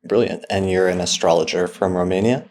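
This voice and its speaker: Male podcast host, very deep voice, casual interviewer prompts. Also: fairly quick, darkish tone. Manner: brief, friendly prompts with fillers. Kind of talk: interview questions.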